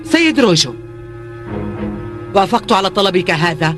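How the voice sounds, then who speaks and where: A woman's voice: medium pitch, sing-song, moderate pace, natural voice. Woman acting authoritative female fleet commander over music. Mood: controlled, commanding. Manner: authoritative, formal. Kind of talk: dubbed cartoon acting. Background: dramatic music.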